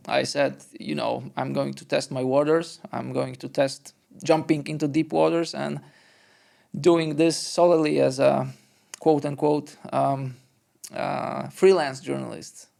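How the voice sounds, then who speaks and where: A middle-aged man with a moderately high but heavily gravelly, creaky voice tells stories on a podcast. He is chatty and often acts out what others told him. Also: fairly even intonation, measured pace, darkish tone. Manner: chatty storyteller, quotes others. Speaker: basketball journalist, podcast co-host. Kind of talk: casual conversation.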